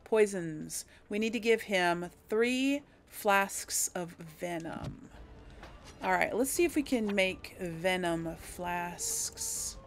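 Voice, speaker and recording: A woman's voice: medium pitch, big pitch swings, slow pace, slight background noise. A woman giving casual let's play commentary, chatting to her viewers and thinking aloud as she plays. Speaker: video game player hosting a series. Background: game music.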